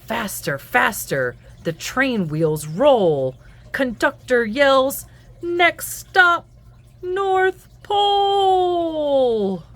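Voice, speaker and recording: Slow, very animated woman reading a picture book. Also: high voice. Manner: animated, singsong, deliberate storytelling. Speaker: children's book reader and host. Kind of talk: expressive picture-book read-aloud.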